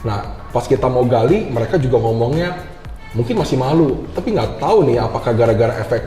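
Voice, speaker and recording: A man's voice: mid-range voice, lively intonation, a little fast, dark tone, roomy sound, soft background music. A man with a Jakarta Indonesian accent chatting informally as an interview guest, mixing English words into his talk. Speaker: guest, IT university lecturer. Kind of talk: casual interview chat.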